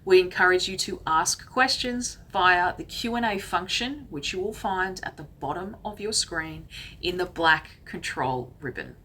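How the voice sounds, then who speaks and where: A woman opens an online training session, reading formal announcements from a script in a measured way. Her voice sits fairly low but sounds bright and crisp. A faint hum of background noise runs underneath. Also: lively intonation. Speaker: event host.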